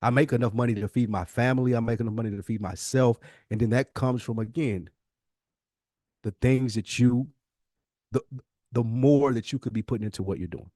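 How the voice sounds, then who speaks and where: A man's voice: mid-range voice, brisk, warm tone, no room sound, studio quality. A man co-hosting a podcast, giving conversational advice in informal, emphatic speech with frequent repetition. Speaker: podcast co-host.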